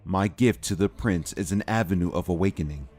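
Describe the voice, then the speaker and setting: A man playing a defiant, good fairy guardian in an audio drama, speaking in a firm, deliberate way. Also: deep voice, a little mellow, soft background music, natural voice. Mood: resolute. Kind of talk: fan audio drama acting.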